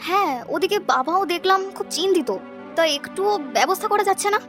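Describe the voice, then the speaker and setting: A woman's voice: extremely high pitch, lively intonation, quick pace, music in the background. A woman playing a caring young wife and daughter-in-law in a cartoon, sweet and pleading. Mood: caring, concerned. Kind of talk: cartoon voice acting, domestic moral story.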